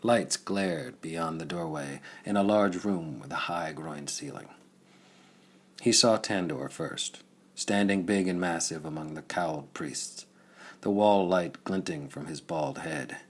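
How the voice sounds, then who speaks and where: A man with a very deep, husky voice reads as an audiobook narrator. His delivery is scripted and dramatic, suited to pulp science fiction storytelling. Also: a little slow.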